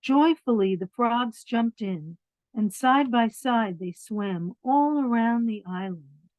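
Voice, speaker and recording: A woman reading picture books aloud to young children, expressive, pausing to explain things in simple words. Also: on the high side. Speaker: children's storyteller. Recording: dull, roomy lo-fi sound.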